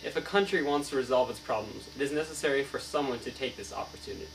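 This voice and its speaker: A man's voice: medium pitch, fast, close to an everyday voice. Man playing an earnest novelist, distant humming recording. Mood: earnest, explanatory. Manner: formal, recited, stumbles over words. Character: earnest, learned Somali novelist being interviewed. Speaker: student playing an interviewed author. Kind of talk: scripted mock interview answers. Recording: distant mic, clear mains hum.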